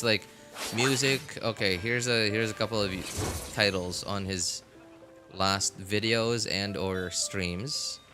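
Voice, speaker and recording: A man chatting casually as he streams a game, rambling and halting as he thinks aloud. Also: on the low side, sharp tone. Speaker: live streamer. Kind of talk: casual gaming stream chat.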